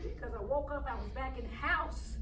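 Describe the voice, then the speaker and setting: A woman performing a dramatic monologue as a frightened, defiant young country girl, telling her story haltingly and with great emotion. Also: animated, pushed and bright, full character voice. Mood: subdued, haunted. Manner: halting, repeating words, highly emotional storytelling. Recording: echoey room, distant mic, faint noise.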